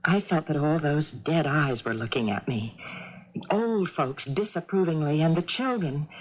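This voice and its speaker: An actress playing an uneasy, worrying wife in a radio drama, with a fretful, dramatic delivery. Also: female voice, deep voice, lively intonation, moderate pace. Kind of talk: 1980s radio drama acting. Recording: muffled old radio drama with background sound.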